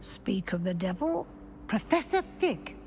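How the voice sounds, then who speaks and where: A woman's voice: medium pitch, very animated, measured pace, darkish tone, a little hiss or hum. An actress playing a kindly middle-aged witch professor in a video game, with a British accent and an articulate, formal, instructive manner. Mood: probing. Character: brisk, kindly female witch professor guiding a new student. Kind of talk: scripted video game dialogue.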